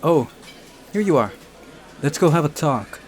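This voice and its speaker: A man voicing a stern, bossy woman in charge in a visual novel, sharp and demanding. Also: a little high, expressive, a little slow, voice pushed up. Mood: stern, agitated.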